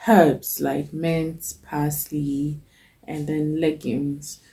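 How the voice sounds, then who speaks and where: A woman's voice: sits low, little pitch movement, extremely slow, vocal fry, warm tone. A young woman hosting her cooking channel, chatting casually through a list with frequent ums while she has a cold. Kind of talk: casual talk to camera.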